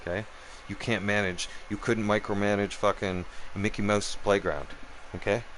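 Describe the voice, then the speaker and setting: A man with a deep, low voice holds forth on his live stream. He swears freely, repeats himself and keeps checking in with "right?" and "okay?". A faint background hiss sits under him. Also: flat delivery, moderate pace, a bit bright, low-quality recording. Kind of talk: angry political rant on a live stream.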